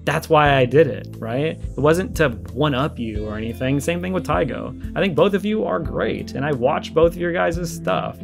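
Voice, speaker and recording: A man's voice: on the high side, animated, normal speed, gravelly, few pauses. A man giving conversational response commentary, arguing emphatically and repeating his points for stress. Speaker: video creator and host. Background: music with plucked guitar.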